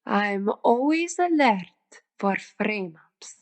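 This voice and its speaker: A woman playing a scheming phony psychic in an old-radio style crime comedy, formal, measured and imperious. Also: mid-range voice, lively intonation. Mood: menacing. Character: phony psychic madam, grand, scheming and controlled.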